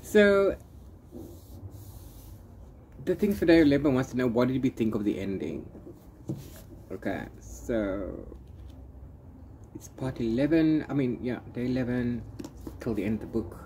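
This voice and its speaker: A man's voice: medium pitch, expressive, moderate pace, long pauses, warm tone. A man chatting casually in a book review vlog, rambling and trailing off into repeated filler phrases. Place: open-sided corrugated-iron shelter outdoors. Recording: clear background noise, open-air ambience.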